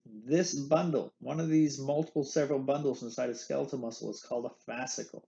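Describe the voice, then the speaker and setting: A man with a gravelly, mellow voice talks through lecture slides in a playful, jokey way, full of candy analogies. The sound is a bit dull and lo-fi, like a computer mic. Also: medium pitch, brisk. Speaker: anatomy instructor. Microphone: screen recording with webcam.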